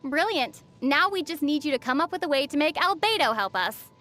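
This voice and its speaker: A woman voicing a young female traveler in a video game, composed and playfully teasing, with quick wit. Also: high-pitched, animated, very noisy. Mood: amused. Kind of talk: video game character dialogue.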